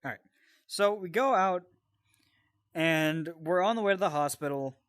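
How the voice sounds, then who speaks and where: Animated, higher-pitched man telling a story. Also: a little slow, clean tone, dry recording, clean studio sound. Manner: animated, sweary, digressive. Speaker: storyteller and co-host. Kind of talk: casual personal storytelling with banter.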